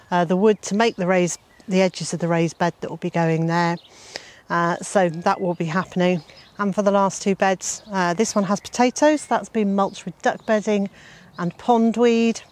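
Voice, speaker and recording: An older woman in her sixties walks through her vegetable garden outdoors, pointing out the beds. Her voice sits a little low, and she talks at an easy pace, with lots of "uh" pauses. The sound is plain, open outdoor audio. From Wales. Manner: unhurried, many "uh" fillers, gentle chuckles. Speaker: homestead gardener giving a tour. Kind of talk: casual garden tour walkthrough.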